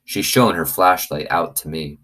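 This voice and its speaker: Low-voiced man reading a story aloud. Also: normal speed, bright, forceful tone. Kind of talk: expressive storybook reading with commentary.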